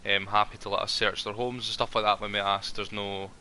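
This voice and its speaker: Chatty man, very bright tone. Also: fairly low pitch, level tone, fairly quick, a little hiss or hum. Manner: friendly, rambling, with many ums and restarts. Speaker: roleplay player as a ranger. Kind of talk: in-character roleplay chat.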